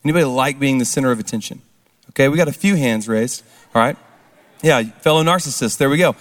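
A hoarse man speaks to a gathered church crowd in a relaxed, conversational way, often checking in with the audience. His voice sits at an ordinary middle pitch, and it rings out with the long reverb of a big hall. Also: quick pace. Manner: casual, engages the crowd with questions. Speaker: speaker at a recovery ministry service. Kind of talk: conversational testimony talk.

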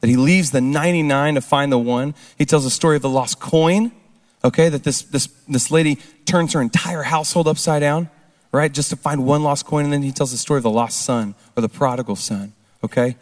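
A hoarse man giving a casual testimony talk at a recovery ministry service, throwing questions out to the crowd. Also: fairly high pitch, a little fast. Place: large church hall with an audience. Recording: long hall reverb.

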